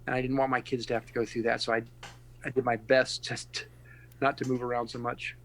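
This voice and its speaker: Earnest, reflective middle-aged pastor. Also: male voice, medium pitch, little pitch movement, a bit bright. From California and Texas, USA. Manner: reflective and earnest, with frequent pauses and restarts. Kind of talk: casual podcast interview.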